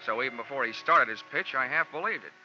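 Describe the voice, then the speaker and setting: A man's voice: mid-range voice, lively intonation, quick pace, natural voice. An actor playing a wry, laconic male insurance investigator narrating and acting in a 1940s radio detective drama. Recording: dull old 1940s radio recording, music behind.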